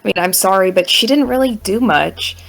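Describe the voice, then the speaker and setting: A woman's voice: medium pitch, a little fast, creaky, a bit bright, poor audio. A woman co-hosting a podcast, giving her opinions in a casual group chat. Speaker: podcast co-host.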